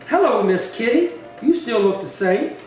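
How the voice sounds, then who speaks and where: A man acting as a bitter western drifter with a swaggering, threatening air. The voice is rather high and comes from far off in a very echoey hall. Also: big pitch swings, moderate pace, darkish tone, faint music behind. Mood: coolly menacing. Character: bitter, menacing male drifter cowboy, jilted brother. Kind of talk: old-time western radio acting. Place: little theatre stage, distant mic. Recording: very echoey hall, far from mic, faint noise.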